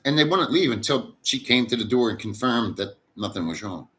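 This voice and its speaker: A man's voice: medium pitch, animated, fairly quick, fairly bright tone. A man hosting a casual livestream, reading chat aloud and rambling in a crude, profane way.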